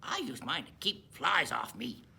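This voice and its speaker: A woman's voice: low voice, huge pitch swings, unhurried, vocal fry, bright, forceful tone, breathy edge, heavily acted. A woman voicing a cheerful, wacky young pony in a children's book discussion, with some background noise. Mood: cheerful, musing.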